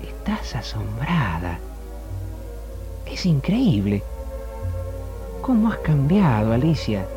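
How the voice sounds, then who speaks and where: Tender argentine radio drama lover, emotive, really melodic, old tape w music. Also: male voice, mid-range voice, big pitch swings, slow pace, pauses often, warm tone. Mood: tender, wondering. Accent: Rioplatense Argentine. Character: earnest, tender man in love, searching and troubled. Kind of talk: 1960s radio drama acting.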